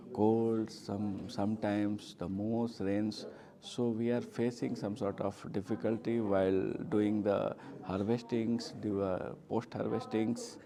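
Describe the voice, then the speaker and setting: Halting low-voiced Indian man, busy chatter behind. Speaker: workshop participant. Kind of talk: informal group presentation.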